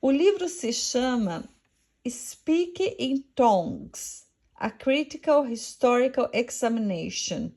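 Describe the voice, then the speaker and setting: Slow, gravelly Portuguese woman. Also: a little high, very animated, darkish tone. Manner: measured, devout reading. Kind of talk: scripted religious reflection.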